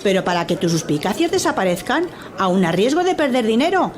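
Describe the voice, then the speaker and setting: A woman acting a wily, flattering market vendor who haggles in a theatrical, coaxing way for a radio drama. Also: medium pitch, fairly quick, natural voice. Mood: reassuring, conceding. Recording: busy crowd behind the voice.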